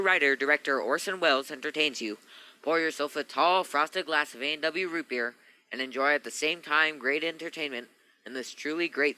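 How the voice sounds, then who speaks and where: A man performs a smooth vintage radio pitchman with an inviting, salesman's polish. His voice is clean and bright, recorded very dry. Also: dry recording, rough recording. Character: smooth male 1940s radio sponsor pitchman. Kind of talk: 1940s radio advertisement reading.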